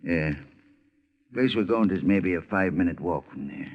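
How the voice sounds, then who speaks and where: A man's voice: sits low, lively intonation. Hesitant older watchman, tinny radio. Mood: nervous, humble. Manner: halting, hesitant, stammering. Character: older night watchman, uneasy, humble, hesitant client. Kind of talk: 1950s radio detective drama acting. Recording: tinny, band-limited old radio recording.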